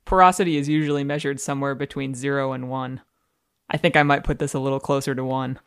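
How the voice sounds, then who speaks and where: A woman guest on a podcast, reading academic prose and answering in a precise, measured, deadpan manner. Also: very deep voice, very noisy. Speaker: podcast guest, fluid dynamicist. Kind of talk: dramatic reading of academic text.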